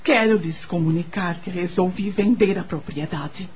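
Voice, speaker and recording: An actress with a Brazilian accent playing a dignified, bitter, grieving mistress of a mansion in a radio drama, speaking formally in a husky voice. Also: female voice, lowish voice, a little mellow. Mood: firm, cold. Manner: formal, measured, commanding. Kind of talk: Portuguese-language radio drama acting.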